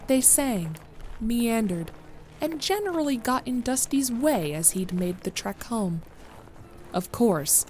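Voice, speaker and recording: A woman's voice: mid-range voice, a little hiss or hum. A woman reading scripted narration of a horror story as the narrator.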